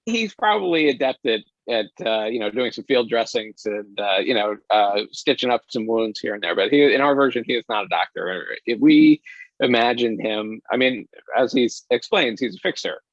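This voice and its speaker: A man answering as an interview guest, a director speaking casually in halting, hesitant phrases. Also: mid-range voice, animated, dry recording. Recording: dry but slightly dull audio.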